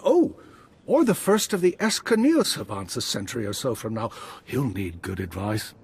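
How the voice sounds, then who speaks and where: An eccentric Jedi master from a video game. His voice is very husky and rough, swinging animatedly up and down as he mentors with theatrical flair and the weight of someone who sees what is coming. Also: medium pitch, sing-song, normal speed, a little mellow, exaggerated voice. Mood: eager, genial. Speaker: Jedi master guiding a student. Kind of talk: scripted video game dialogue.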